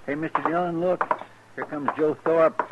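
An actor playing an eager, deferential, folksy sidekick in an old-time radio western. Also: male voice, fairly high pitch, steady tone, moderate pace, lightly acted. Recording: very noisy, dull vintage radio recording.

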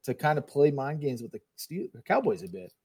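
A man from Canada in a casual sports chat, measured and hedging, stammering as he starts. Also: mid-range voice, big pitch swings, darkish tone, breathy edge. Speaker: podcast co-host.

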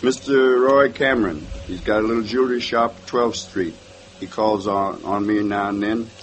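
A man's voice: on the low side, moderate pace, slight background noise, natural voice. An actor playing a nervous young male suspect in his twenties in a 1950s radio drama, earnest and defensive. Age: 20s.

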